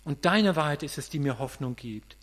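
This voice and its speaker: A man's voice: fairly high pitch, animated, moderate pace, a bit bright. A man in his late fifties giving a conversational, earnest sermon, often restarting and repeating words as he thinks aloud. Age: 50s. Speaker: preacher. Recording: reverberant hall with mains hum.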